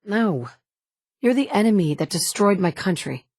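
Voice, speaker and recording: A woman's voice: medium pitch, expressive, moderate pace, a little mellow, no room sound, clean studio sound. A blunt, sarcastic wasteland mother. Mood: determined. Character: determined young mother searching wasteland for kidnapped son. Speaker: player character voice actress. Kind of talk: video game voice lines. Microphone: studio mic.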